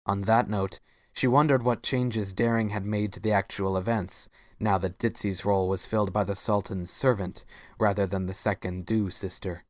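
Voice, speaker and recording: A man's voice: on the low side, steady tone, moderate pace, no echo, studio quality. A man narrating a scripted story as a dramatic reading.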